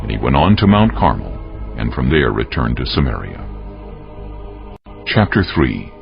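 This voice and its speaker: A male narrator with an extremely deep, gravelly voice reads scripture solemnly. His intonation moves widely, in storytelling fashion. The audio is a little dull, with music playing underneath. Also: sing-song, measured pace. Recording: muffled audio over faint music.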